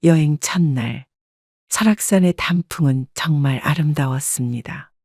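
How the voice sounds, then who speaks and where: A woman narrating a first-person story in a calm, measured way. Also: sits low, dry recording, clean studio sound.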